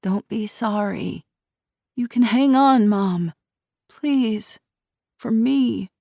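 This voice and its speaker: A woman voicing a desperate teenage girl who pleads and shouts at her mother. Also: very high voice, moderate pace, no room sound, studio quality, voice pushed up. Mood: pleading.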